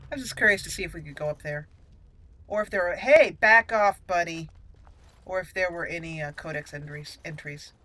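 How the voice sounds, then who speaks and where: Bright-voiced woman gaming host. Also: fairly low pitch, fairly quick. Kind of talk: casual roleplay game commentary.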